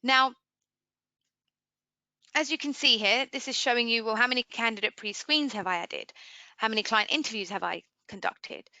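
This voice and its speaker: A woman giving an informal software demo as a webinar trainer, explaining conversationally and putting questions to listeners. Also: a little high, normal speed, pauses often, no room sound. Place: indoors, screen-share webinar voice-over.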